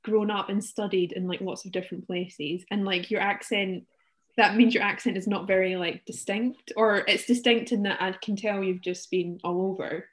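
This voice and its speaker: A woman's voice: mid-range voice, steady tone, normal speed. A woman hosting a casual podcast chat, peppering her questions with lots of "like". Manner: filler-heavy, lots of "like". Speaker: podcast host.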